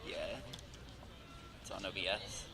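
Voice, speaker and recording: A man's voice: low-pitched, steady tone, takes it slowly. A young man chatting casually about the stream setup, a bit out of breath from running. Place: outdoors beside the field. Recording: distant, very noisy outdoor audio.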